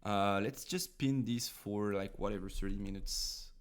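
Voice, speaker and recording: A man's voice: lowish voice, measured pace. A young man from France with a French accent, streaming casually and chatting with viewers about card game decks, rambling with many fillers and restarts.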